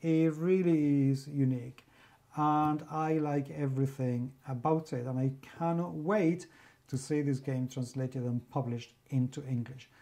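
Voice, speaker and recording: Man, Spanish accent, guest reviewer, effusive, mellow warm tone, mid pitch. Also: steady tone, unhurried. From Spain. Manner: effusive, piles up superlatives. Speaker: guest reviewer, magazine editor. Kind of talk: enthusiastic game review monologue.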